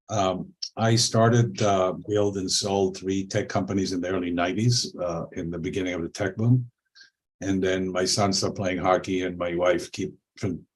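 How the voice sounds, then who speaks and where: Low husky-voiced man on a call. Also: poor audio. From Israel. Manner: relaxed, conversational, many fillers. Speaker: panel guest, angel investor. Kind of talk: panel discussion over video call. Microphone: video call.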